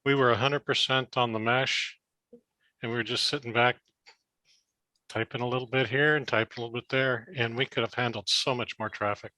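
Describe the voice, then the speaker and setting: A man's voice: mid-range voice, fairly even intonation, fairly quick, pushed and bright, no room sound, rough recording. A man from a ham radio club explaining equipment on a video call, enthusiastic and stumbling over restarts. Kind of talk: casual technical talk on a video call.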